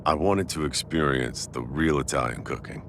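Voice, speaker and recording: A man playing a warm, teasing restaurant owner with a secret crush in a casual, intimate boyfriend audio roleplay. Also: extremely low pitch, moderate pace, gravelly, slight background noise, full character voice. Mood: earnest, relaxed.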